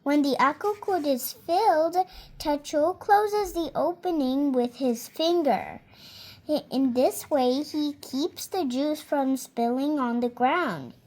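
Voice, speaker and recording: A young reader slowly and carefully reading a picture book aloud, now and then stumbling on a word and fixing it. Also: female voice, sits high, darkish tone. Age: child. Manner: slow careful reading with small slips and self-corrections.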